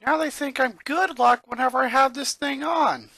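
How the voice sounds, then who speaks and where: A preteen cartoon boy, acted out by an adult narrator, frustrated and worn down. The voice sits high, with a bright edge and a dry, close sound. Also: moderate pace, gravelly, dry recording, full character voice. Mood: frustrated, whiny. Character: frustrated preteen boy, weary and exasperated, cartoon fan fiction.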